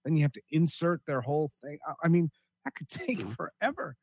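A man hosting a podcast in a casual, conversational way, often halting and repeating his words as he thinks. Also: on the high side, sing-song, a little mellow, a little hiss or hum. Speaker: podcast host.

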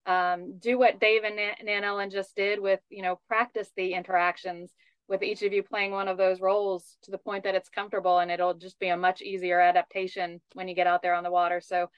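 A woman's voice: medium pitch, level tone, a little fast, clean tone, no echo. A woman hosting in a conversational style, heard on dull, lo-fi audio. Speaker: host.

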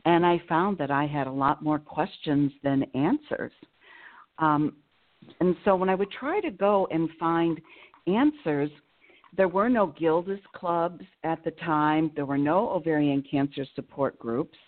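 A woman's voice: low voice, unhurried. A woman from Illinois, a nurse and cancer survivor, telling her story as a guest calling in to a radio interview. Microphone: phone. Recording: tinny phone line.

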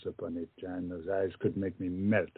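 A man's voice: low-pitched, little pitch movement, a little fast, husky. Man playing lovelorn officer Cyrano, dull lo-fi recording. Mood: heartbroken. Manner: formal, declamatory. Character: lovelorn, proud French officer and poet, Cyrano. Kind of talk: romantic comedy stage-style audio drama. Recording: muffled lo-fi audio, music underneath.

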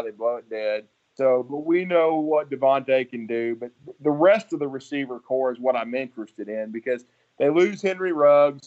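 A man's voice: mid-range voice, darkish tone, highs cut off. A man hosting a sports show, discussing college football in a conversational, opinionated and detailed way. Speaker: sports podcast host.

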